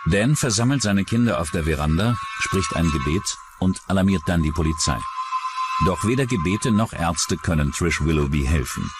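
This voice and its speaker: Male narrator for crime documentary: lowish, animated delivery over music. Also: normal speed.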